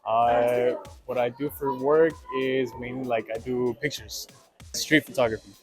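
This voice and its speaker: A man's voice: medium pitch, fairly even intonation, a little slow, a little mellow, soft background music. A man in his twenties, a street photographer, chatting in an easygoing way with little laughs. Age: 20s. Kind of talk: casual chat.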